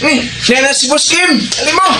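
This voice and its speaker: An actor playing an excitable gang underling, shrill and eager, in a radio drama. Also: male voice, moderate pace. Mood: eager, alarmed. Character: excitable male gang underling hailing his boss. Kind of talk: Cebuano radio drama acting. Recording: echoey radio drama over loud music.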